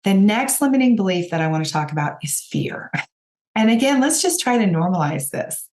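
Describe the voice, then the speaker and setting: A woman with a raspy voice, hoarse from a cold, hosting a podcast and giving direct, encouraging coaching talk to her listeners. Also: medium pitch, sing-song, moderate pace, a little mellow. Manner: encouraging, direct, addresses listeners as you guys. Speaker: podcast host and business coach.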